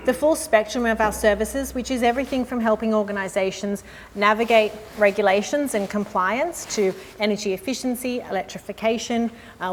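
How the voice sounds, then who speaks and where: Woman presenting in a reverberant hall. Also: mid-range voice. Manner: friendly, measured, with frequent "um" and "uh". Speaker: sustainability consultant presenting. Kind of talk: live industry presentation. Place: conference room with an audience. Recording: long hall reverb with faint noise.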